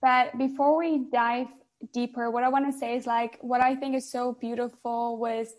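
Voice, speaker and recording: A woman's voice: a little high, little pitch movement, normal speed. A woman hosting a podcast interview, gushing and filling her talk with "like.". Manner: gushing, filler-heavy with "like". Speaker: podcast host.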